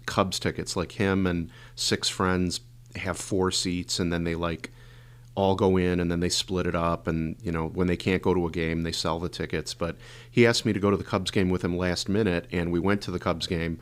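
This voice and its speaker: A man hosting a legal podcast, hoarse and a bit congested from a cold, talking casually with many fillers. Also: deep voice, little pitch movement, normal speed. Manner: rambling, filler-heavy explaining. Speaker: podcast host, injury lawyer.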